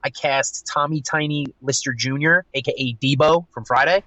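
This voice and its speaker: A man in a wry, deadpan recorded voice message, reading out a list to a podcast. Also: fairly high pitch, normal speed, dry recording, highs cut off. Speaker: listener sending in a voice message.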